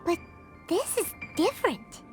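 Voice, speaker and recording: A tiny, excitable game fairy with an extremely high, squeaky voice. The delivery is childlike and earnest, swinging up and down in exclamations over background music. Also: female voice, unhurried, heavily acted. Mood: worried, urgent. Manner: refers to self in third person, exclaiming. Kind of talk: video game character acting.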